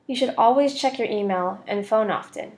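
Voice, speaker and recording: A woman's voice: medium pitch, moderate pace, darkish tone. Student presenter, young American female, mid-range voice, scripted slideshow narration. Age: young adult.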